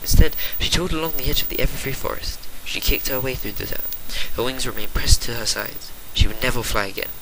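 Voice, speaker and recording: A man reads fiction aloud in a mid-pitched voice, narrating theatrically. His delivery is an amateur one with stumbles. The recording has a faint layer of noise and clicks. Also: brisk, few pauses. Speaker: reader of fan fiction. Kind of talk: dramatic reading of a story.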